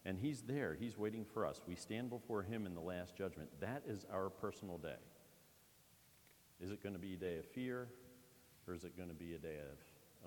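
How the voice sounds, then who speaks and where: A man from Pennsylvania preaching a conversational sermon in a church, with lively asides to the congregation. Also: sits low, big-room sound, a little hiss or hum. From Pennsylvania, USA. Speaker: pastor.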